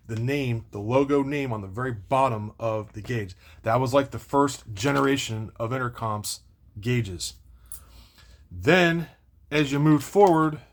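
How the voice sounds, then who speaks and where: A man with a medium-pitched voice talks through a casual product review. He rambles and doubles back on what he has said, in an unscripted way. The recording has noticeable background noise. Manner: rambling, repeats and circles back over points. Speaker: video host doing product unboxing and review.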